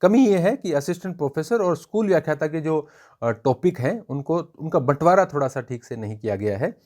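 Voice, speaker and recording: A man giving an informal spoken review for students as an exam coach, explaining in a measured way and pointing out examples. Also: on the high side, animated, darkish tone.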